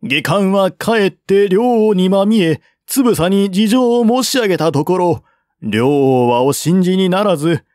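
A man's voice: high-pitched, darkish tone, no echo, studio quality, voice pushed up. A man voicing a humble, earnest envoy in formal courtly speech for a historical audiobook. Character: deferential male envoy, courtly and earnestly persuasive. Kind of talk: audiobook reading of historical novel dialogue.

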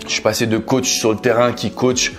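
A man in his thirties from France, a love coach talking business as a guest, relaxed and sure of himself, often filling pauses with "euh" and "tu vois". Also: medium pitch, soft background music. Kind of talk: casual interview chat. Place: bright living room, indoors, on a sofa.

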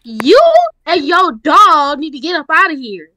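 A woman's voice: high-pitched, animated, a little slow, pushed and bright, dry recording, heavily acted. A woman playing a hostile, sassy young housemate in an improvised online roleplay, blunt and confrontational.

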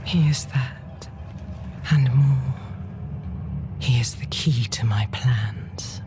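Very deep, slow demon queen. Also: music in the background, exaggerated voice. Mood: calm, commanding. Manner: slow, breathy, menacing, imperious. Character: Lilith, commanding, seductive demon queen and mother. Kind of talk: dark fantasy game cinematic acting.

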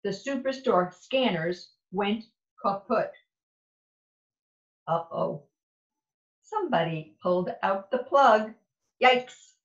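A woman reads a children's storybook aloud at an unhurried pace. Her pitch moves up and down playfully, and the sound is dull with room echo. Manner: animated, deliberate, playful. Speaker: storybook reader. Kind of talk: expressive children's picture book read-aloud. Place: indoor room at home.

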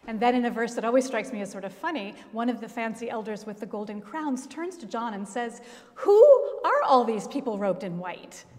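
A middle-aged woman pastor preaching a sermon at a pulpit, telling the story expressively with dramatic pauses. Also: a little high, expressive. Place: large church, at a pulpit. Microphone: gooseneck pulpit mic. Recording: long church reverb.

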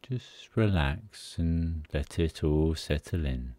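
Very slow, deep-voiced male meditation guide. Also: expressive, dark tone, no echo. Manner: soothing, unhurried, pausing between short phrases.

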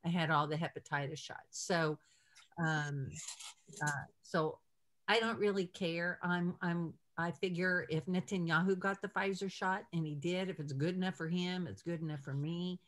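An older woman leading an informal ministry video call, speaking in an encouraging, halting way from a room at home. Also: fairly low pitch, fairly even intonation. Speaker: ministry leader hosting a call. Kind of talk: informal prayer-call talk. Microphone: video call computer mic.

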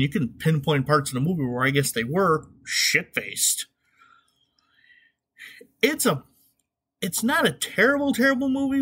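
A man's voice: mid-range voice, fairly bright tone. A man hosting a comedic podcast, talking casually about movies in a sarcastic, irreverent way. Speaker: podcast host.

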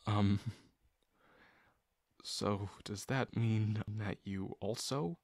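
A man's voice: fairly low pitch, darkish tone, no echo, studio quality. A man playing a shy, sincere young guy in love with his best friend, speaking hesitantly and intimately to the listener in an audio roleplay. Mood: awkward, flustered. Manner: hesitant, halting, full of pauses and sighs. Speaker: voice actor.

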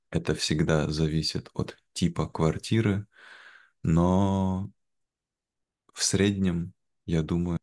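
A young man speaking in a careful, measured way in a simplified conversation for learners, on a video call from home. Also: low-pitched, a little mellow. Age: young adult. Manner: measured, careful, deliberate phrasing. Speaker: guest, language teacher. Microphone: video call, wearing headphones.

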